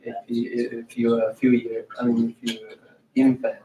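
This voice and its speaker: Halting man chatting between sessions. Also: medium pitch, monotone, reverberant, distant mic, a crowd in the background, low-quality recording. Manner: halting, many restarts and "uh"s. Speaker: workshop organizer. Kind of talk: casual chat.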